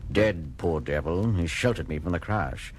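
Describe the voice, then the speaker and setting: An actor playing a genteel, unflappable detective with a pompous manner in a 1970s comic-book record drama. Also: male voice, low voice, normal speed, slight background noise, natural voice. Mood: smug, composed. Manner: pompous, deductive.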